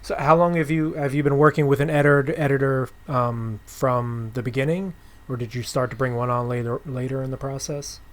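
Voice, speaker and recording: A man chatting casually as the host of an interview podcast, with a medium-pitched voice. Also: steady tone, a little fast.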